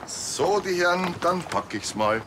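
A man's voice: high-pitched, sing-song, a little slow, background noise. An actor playing a cool, sardonic male murder suspect in a TV crime comedy. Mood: nonchalant.

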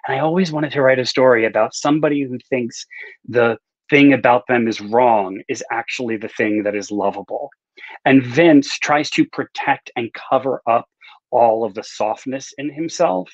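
A man's voice: mid-range voice, normal speed, no echo. A middle-aged man giving casual, rambling interview answers with self-deprecating asides over a video call. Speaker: author, interview guest. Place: indoor room with bookshelves, on a video call. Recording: slightly dull video-call audio.

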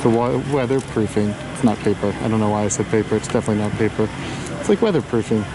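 A man's voice: on the low side, quick pace, background noise. A man casually narrating a walking tour outdoors at a theme park, rambling and offhand and correcting himself as he goes. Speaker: vlog host.

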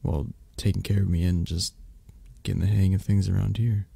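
Man acting low, gravelly, drowsy mafia boss lover. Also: level tone, mellow, no echo, exaggerated voice. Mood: tender, teasing. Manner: slow, hesitant, murmured endearments with pauses. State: tired, drowsy. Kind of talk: intimate romantic ASMR roleplay. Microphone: close microphone.

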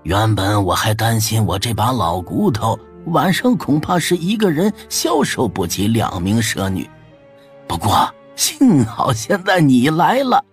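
Boisterous old nobleman, swooping pitch. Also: very animated, a little slow, faint music behind. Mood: lecherous glee. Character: tipsy, lecherous, jovial old nobleman, thin and boisterous. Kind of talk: dramatised audiobook character acting.

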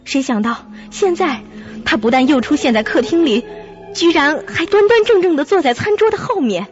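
Casting: young female flatmate, high and animated, expressive radio-drama delivery, muffled recording. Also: normal speed, faint music behind. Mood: uneasy narrating. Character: young woman Xiaoqi, startled, then soothing flatmate. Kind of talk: Mandarin radio drama acting.

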